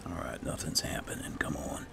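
A man doing casual gameplay roleplay commentary in a low-key, muttering way. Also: brisk, whispered. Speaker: gamer playing and narrating a roleplay. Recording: music behind the voice. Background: game music.

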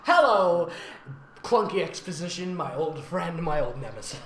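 Animated, bright-voiced sarcastic comedy reader. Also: medium pitch, unhurried. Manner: theatrical, sarcastic, giggly. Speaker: fanfic reader and voice actor hosting a reading. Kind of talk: mocking comedic read-aloud with running commentary. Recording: roomy echo, faint background noise.

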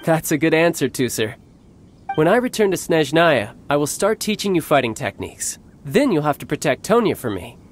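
An actor playing a cocky, playful young warrior lord and older brother in a video game, speaking in a smooth, teasing, confident way. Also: male voice, a little high, very animated, moderate pace, slight background noise. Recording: game soundtrack under the voice. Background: game music.

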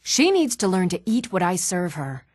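A woman's voice: fairly low pitch, very animated, moderate pace, a little mellow, dry recording, studio quality. A woman playing a plain-spoken, hardworking and motherly townswoman in a fantasy video game. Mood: conversational, firm. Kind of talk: scripted fantasy game dialogue.

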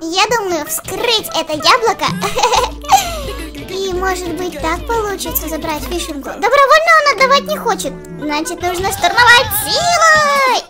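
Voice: very high voice, sing-song, normal speed. A performer voicing a small, grumpy, dramatic cartoon creature obsessed with its treat, in a children's game, with a whiny voice. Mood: scheming. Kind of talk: children's game let's-play voice acting. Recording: loud children's music underneath. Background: playful children's game music.

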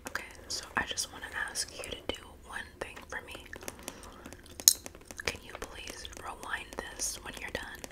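A woman whispering softly in an ASMR roleplay as a friendly, gossipy schoolgirl classmate. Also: measured pace. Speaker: ASMR performer.

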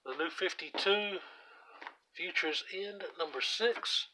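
A hoarse man casually walking through his comic book collection, reading out titles with offhand asides while he sits on the floor at home. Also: high voice, slow pace, noisy background. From US South. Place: indoors at home, seated on the floor by a shelf. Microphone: camera aimed at the shelf, speaker off screen.

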